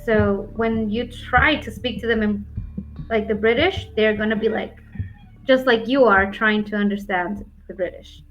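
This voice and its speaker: A woman's voice: medium pitch, measured pace. A woman with a neutral American accent tutoring English over a video call, talking frankly and often saying "like". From United States. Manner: frank, often uses "like" as a filler. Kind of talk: casual one-on-one lesson chat. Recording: dull lo-fi call audio with faint background music.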